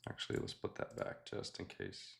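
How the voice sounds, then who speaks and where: A young man hosting a live trading stream, chatting casually with viewers and thinking out loud, often dropping to a quiet mutter. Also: normal speed. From Mexican family. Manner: laid-back, thinks aloud, often mutters quietly to himself. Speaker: livestream host trading futures.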